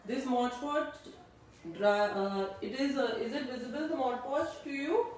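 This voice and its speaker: A woman giving a live online craft class, explaining steps slowly and checking that attendees can hear her. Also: high-pitched, a little mellow, a little hiss or hum. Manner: slow instructional, checks audibility with attendees. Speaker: craft teacher. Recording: distant echoey room mic.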